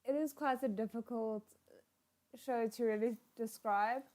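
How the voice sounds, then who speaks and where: A woman giving casual live reaction commentary, musing aloud in a halting way with trailing asides. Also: fairly high pitch, fairly even intonation, darkish tone. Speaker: reaction host.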